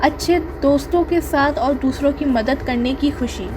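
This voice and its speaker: A woman's voice: sits high, a little slow, noisy background. A woman voicing a kind, curious young girl in a children's story, earnest and full of wonder. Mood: happy.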